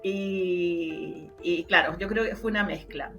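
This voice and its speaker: A woman's voice: lowish voice, fairly even intonation, takes it slowly, gravelly, fairly bright tone, lo-fi sound, faint music behind. A woman with a Chilean Spanish accent recounting her memories as an interview guest in casual talk, repeating words for emphasis. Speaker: interview guest, radio drama producer. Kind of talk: casual conversational recollection.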